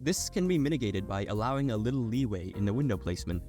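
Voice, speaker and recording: A man's voice: fairly low pitch, animated, fast, music in the background. A young adult man reading a scripted technical explainer as a narrator.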